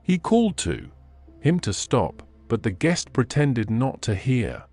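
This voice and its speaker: A man reading fairy tales as a scripted audiobook narration, in measured, expressive storybook phrasing. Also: mid-range voice, faint music behind.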